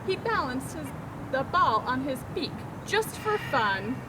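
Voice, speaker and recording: A woman reading a children's picture book aloud expressively, pausing to put questions to her listeners. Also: high voice, big pitch swings, noisy background. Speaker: storytime reader, zoo educator.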